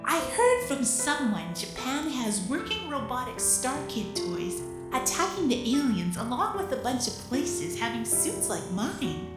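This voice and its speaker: A woman's voice: sits high, big pitch swings, measured pace, few pauses. A woman playing an eager, cheerful pilot inside a robot suit in an amateur audio drama, upbeat and chuckling. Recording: echoey, music underneath.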